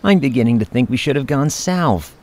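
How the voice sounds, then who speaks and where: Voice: mid-range voice, lively intonation, brisk, darkish tone, natural voice. An actor playing a glum adventurer who complains wryly in an audio drama. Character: glum, grumbling, wry fantasy adventurer.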